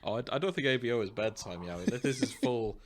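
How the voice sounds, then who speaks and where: A man's voice: medium pitch, lively intonation, fast, slight background noise. A man hosting a podcast, talking in a casual, conversational style. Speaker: podcast host. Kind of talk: casual chat.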